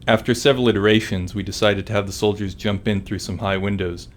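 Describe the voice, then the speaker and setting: A man speaks in a slightly deep voice. He delivers scripted design commentary as a game developer. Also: fairly even intonation, fast.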